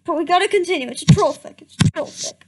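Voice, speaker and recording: A woman's voice: very high-pitched, quick pace, darkish tone, a little hiss or hum. A young woman doing a comedic reading aloud, stumbling over words and breaking off for laughing asides and mock outrage. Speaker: reader. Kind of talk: comedic dramatic reading with commentary.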